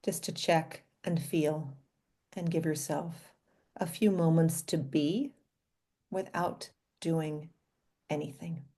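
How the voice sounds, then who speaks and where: Slow, low-voiced woman guiding meditation. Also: steady tone, husky. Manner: gentle, unhurried, inviting, with pauses between short phrases. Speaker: doctor leading a guided meditation. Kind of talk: guided meditation.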